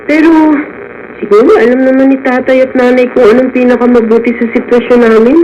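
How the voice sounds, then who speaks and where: A woman's voice: on the high side, flat delivery, brisk, a little mellow, lightly acted. A Filipino actress in a radio drama playing a dutiful, selfless teenage schoolgirl, polite and meek. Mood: meek, concerned. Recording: dull radio audio with slight noise.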